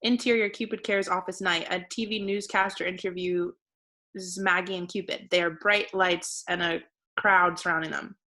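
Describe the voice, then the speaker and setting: A woman's voice: fairly low pitch, steady tone, normal speed, pushed and bright. A woman reading screen directions as scripted narration at a table read.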